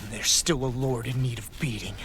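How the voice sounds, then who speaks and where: An actor playing a gruff, remorseful young fighter in a video game, terse and understated. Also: male voice, medium pitch, steady tone, moderate pace, noisy background, natural voice. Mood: subdued, remorseful. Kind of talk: dramatic video game voice acting. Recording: loud music over the voice.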